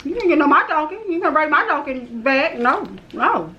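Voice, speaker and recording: High, lively teasing woman from Texas. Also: normal speed, highs cut off, a little hiss or hum. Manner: teasing, playful trash talk. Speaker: prank video host. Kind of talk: casual prank chat on a video call app.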